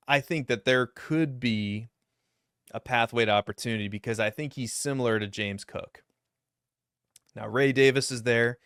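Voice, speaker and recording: A young man with a low, deep voice that cracks upward now and then. He talks through draft picks in a casual, rambling way, full of self-deprecating asides. His voice sounds smooth and clear, recorded dry and close with no room echo. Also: fairly bright tone. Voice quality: deep voice that sometimes cracks high. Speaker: fantasy football YouTuber. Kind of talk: solo mock draft commentary.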